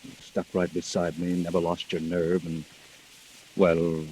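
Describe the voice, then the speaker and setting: An actor playing an earnest, troubled husband, dramatic and emphatic, in a 1940s radio mystery. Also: male voice, low-pitched, completely flat delivery, measured pace, a little mellow. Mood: hushed, troubled. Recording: hissy, noisy old radio recording.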